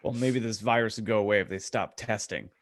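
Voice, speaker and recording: A man's voice: fairly low pitch, fairly even intonation, brisk. A man co-hosting a comedy podcast, chatting casually with sarcastic teasing. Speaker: podcast co-host.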